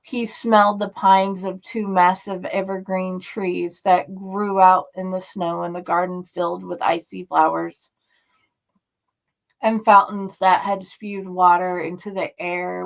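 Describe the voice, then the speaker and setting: A woman reading a horror story aloud in a dramatic, deliberate, drawn-out way. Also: lowish voice, flat delivery, gravelly, rough recording. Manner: slow, deliberate, drawn-out delivery. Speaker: story reader and host.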